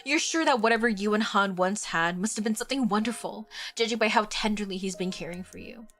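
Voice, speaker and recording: A young woman streaming a romance game, congested from a cold, reacting excitedly between stretches of reading the game text aloud. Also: mid-range voice, brisk, faint music behind. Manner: excitable, swearing, swings between loud outbursts and quiet reading. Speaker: streamer and vtuber.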